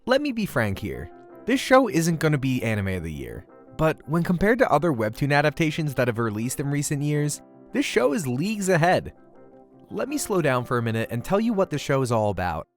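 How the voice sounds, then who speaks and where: Male reviewer, lively intonation, mid pitch, scripted and articulate, light music underneath. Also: a little fast. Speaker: video reviewer and voice actor. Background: background music.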